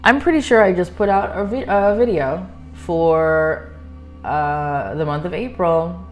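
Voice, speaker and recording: A woman's voice: lowish voice, a little slow, soft background music. A woman doing a tarot reading to camera, reading card messages solemnly and then chatting casually between cards. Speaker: tarot reader. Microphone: microphone.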